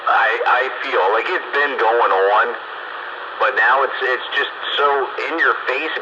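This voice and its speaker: A man's voice: mid-range voice, measured pace. Man, blunt and coarse, casual political talk; lo-fi radio-style sound with heavy noise. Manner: blunt, salty language. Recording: muffled, noisy radio-style audio.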